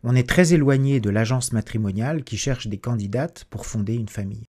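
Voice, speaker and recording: A man narrating a documentary in a measured, analytical scripted voice-over. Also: mid-range voice.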